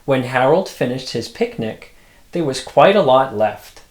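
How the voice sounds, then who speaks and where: Expressive man reading a bedtime story, unhurried. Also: medium pitch, reverberant. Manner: expressive, childlike wonder in asides. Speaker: storyteller, host. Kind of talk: reading picture books aloud, with asides.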